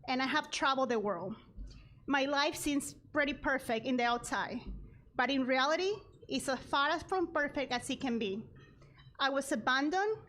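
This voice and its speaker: A woman, giving an earnest, measured personal speech at a gala. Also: high voice, a bit bright. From Ecuador. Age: 30s. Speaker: guest speaker.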